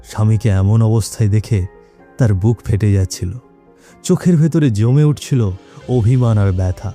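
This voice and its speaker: A man narrating a scripted horror tale dramatically, with measured pauses. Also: fairly low pitch, mellow, faint music behind.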